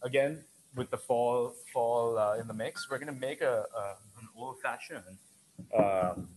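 A man's voice: medium pitch, unhurried, a little mellow, slight background noise, low-quality recording. Easygoing man in his thirties. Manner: easygoing, repeats words while explaining. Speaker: bartender. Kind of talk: cocktail demonstration. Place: dim bar set, indoors.

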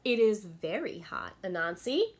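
A woman voicing a calm, clever female little deer with a patient, playfully innocent manner. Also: medium pitch, measured pace, slightly rough.

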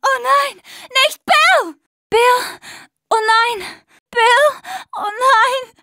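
A woman's voice: very high voice, very slow, sharp tone, no room sound, studio quality, full character voice. An actress playing a tough, sarcastic young woman surviving a zombie apocalypse in a dubbed video game. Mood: frantic, grieving. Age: young adult.

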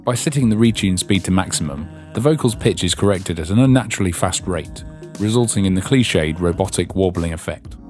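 A man's voice: low-pitched, animated, fairly quick, loud music behind the voice. A man reading scripted instructional narration as the narrator of a tutorial.

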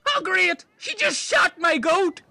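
A man's voice: extremely high pitch, sing-song, slow, gravelly, noisy background, exaggerated voice. A man playing a dim, petulant, foul-mouthed castaway in a silly comedy sketch. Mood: excited. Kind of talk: scripted comedy audio sketch.

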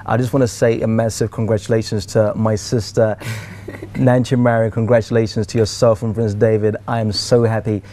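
A man's voice: lowish voice, flat delivery, fairly quick, mellow. A young man with a British accent speaking as an interview guest in an emphatic, oratorical, motivational style. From United Kingdom. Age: young adult. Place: TV studio.

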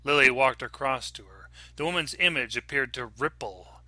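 Male horror story narrator, very bright, forceful. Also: medium pitch, moderate pace. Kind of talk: dramatic scripted fiction narration.

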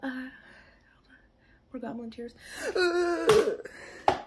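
A woman's voice: sits high, drawn-out words, heavy background noise. A woman voicing a self-pitying goblin creature who fake-sobs, whines and sniffles through a comedic monologue to camera. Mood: whiny sobbing. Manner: fake sobbing, whining and sniffling.

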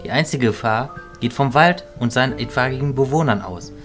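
A man playing a measured, analytical illusionist in a fantasy audio drama, with a dry delivery. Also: mid-range voice, lively intonation, fairly bright tone, soft background music, natural voice. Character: level-headed, analytical male illusionist companion.

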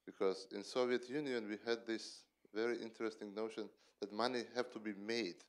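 A man's voice: fairly low pitch, steady tone, brisk. A man, putting a question to a speaker at a public event. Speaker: audience questioner.

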